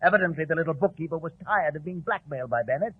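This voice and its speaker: An officious police inspector, emphatic and blustering. His delivery swings widely in a husky, highish voice, on a tinny old 1940s radio drama recording. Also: lively intonation, very fast, no echo.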